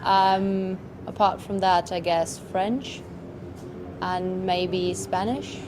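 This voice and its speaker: A woman's voice: on the low side, steady tone, very slow, noisy background. A woman from Germany answering interview questions casually, hesitating and thinking aloud as she goes.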